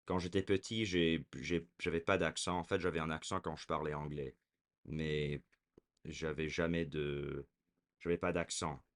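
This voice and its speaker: Hesitant young man with a very low voice. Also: little pitch movement, measured pace. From raised in America. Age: 20s. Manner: hesitant, many fillers and restarts. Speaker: vlogger. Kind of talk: casual talking to camera.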